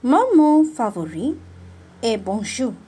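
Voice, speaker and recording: Breathy, lilting young Indian student. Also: female voice, on the high side, sing-song, slow, dark tone. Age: young adult. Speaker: university student. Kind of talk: read-aloud video message.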